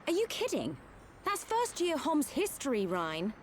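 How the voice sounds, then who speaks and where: A woman playing a brisk, easily exasperated young adventurer in a video game, plain-spoken and inclined to scold. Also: high-pitched, wildly swooping pitch, slight background noise, exaggerated voice. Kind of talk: video game cutscene acting.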